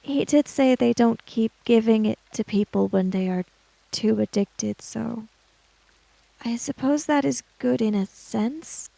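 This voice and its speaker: A woman's voice: fairly high pitch, measured pace, vocal fry, dark tone, dry recording, poor audio. A woman roleplaying in a tabletop game, speaking hesitantly and narrating what her character does. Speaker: player in a tabletop roleplaying podcast.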